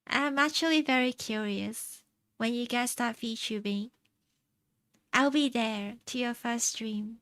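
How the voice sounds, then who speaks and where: A young woman from China chatting casually on stream, answering her chat in a soft, rambling way and laughing often. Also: a little high, takes it slowly, no echo. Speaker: VTuber streamer. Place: home streaming setup.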